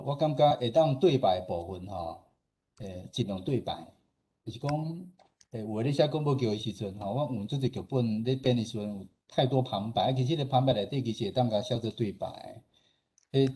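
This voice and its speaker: A man with a Taiwanese accent explaining things informally and modestly in an online discussion, in a halting way. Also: lowish voice, warm tone. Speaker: radio station director and radio drama producer, guest speaker. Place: online meeting.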